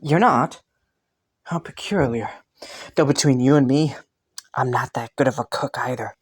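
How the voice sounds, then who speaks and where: A woman voicing a kind, playful young prince in an intimate roleplay drama, speaking politely with hesitant rambles and gentle teasing. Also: rumbling low, unhurried, breathy edge, full character voice. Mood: amused, teasing. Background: faint music.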